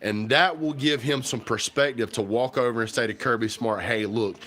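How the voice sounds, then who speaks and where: A man, chatting casually to viewers on a livestream while stuffy and hoarse from a cold. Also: mid-range voice, moderate pace, bright, forceful tone. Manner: rambling, folksy, talks to viewers directly. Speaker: livestream host. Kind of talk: casual livestream chat about football. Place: home kitchen.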